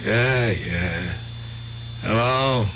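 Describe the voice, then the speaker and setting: Radio drama: irritable gruff man, drawn-out delivery, muffled tape with clear noise. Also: lowish voice, a bit bright, exaggerated voice. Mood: groggy, irritable. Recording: dull, noisy old radio recording.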